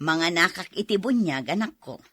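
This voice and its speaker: A woman acting as a put-upon wife and mother in an Ilocano radio drama. Her voice is bright and forceful, slightly low for a woman, recorded close and dry. She complains and sighs with an Ilocano accent, weary but still feisty. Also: expressive, measured pace, slightly rough, dry recording, full character voice. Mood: resigned.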